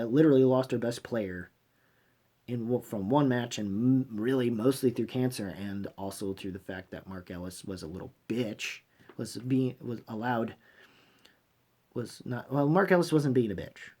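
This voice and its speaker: A man hosting a fan review channel, giving unscripted, opinionated commentary in a halting, emphatic, blunt way, often rewording his phrases. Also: medium pitch, darkish tone, breathy edge. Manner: blunt, swears freely.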